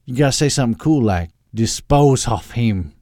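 A man's voice: medium pitch, lively intonation, normal speed, a little mellow, no echo, clean studio sound, lightly acted. A man voicing an eager, insecure mad-scientist villain in an audiobook, rambling and theatrical, with a Southern accent that wanders into mock-Austrian. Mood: casual, eager. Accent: American South, wandering to mock-Austrian.

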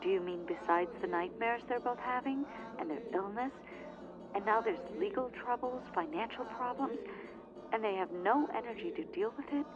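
A worried female client, voiced by an actress speaks earnestly and uneasily in a radio drama. The played-back sound is dull and muffled, with clear music behind it. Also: a little high, normal speed, close to an everyday voice.

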